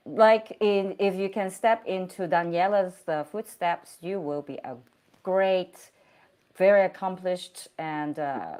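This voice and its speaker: A woman host with a somewhat low pitch for a woman. She speaks in an effusive, encouraging way, praising her guest and laughing easily. She is heard over a video call. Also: slow pace, darkish tone, clean tone. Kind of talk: hosting an online interview.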